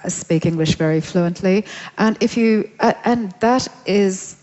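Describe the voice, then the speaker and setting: A woman's voice: medium pitch, a little slow, a little mellow. A woman from Sri Lanka, a novelist, answering questions in an onstage festival conversation, reflective and hesitant with many fillers. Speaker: novelist, interviewee. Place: large festival hall on stage. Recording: hall reverb, dull lo-fi sound.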